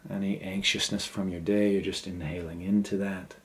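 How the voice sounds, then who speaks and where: Low-voiced man guiding a meditation. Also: flat delivery. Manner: gentle, unhurried, pausing between short phrases. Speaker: meditation guide. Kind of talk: guided meditation, unscripted.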